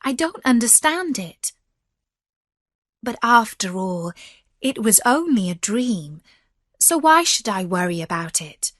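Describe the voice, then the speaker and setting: A woman voicing a kind-hearted, timid young storybook heroine in an earnest, expressive way. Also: fairly high pitch, slow, pauses often, no echo, clean studio sound, heavily acted. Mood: wondering.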